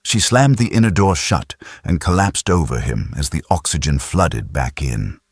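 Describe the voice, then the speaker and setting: A man's voice: a bass voice, expressive, normal speed, breathy edge, dry recording, clean studio sound. A man narrating a sci-fi audiobook in a grave, dramatic, suspenseful storytelling style.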